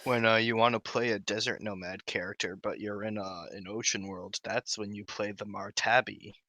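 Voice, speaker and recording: A man's voice: on the low side, steady tone, normal speed, pushed and bright, no echo. A man co-hosting a podcast, chatting casually with a tongue-in-cheek manner. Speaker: podcast co-host. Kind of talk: casual chat.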